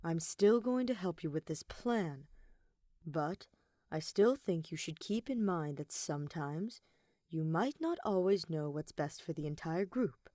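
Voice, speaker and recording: A woman voicing a calm, guarded teenage boy accomplice in a story reading, speaking in a measured, plain way. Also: lowish voice, no echo, studio quality. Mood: steady, serious.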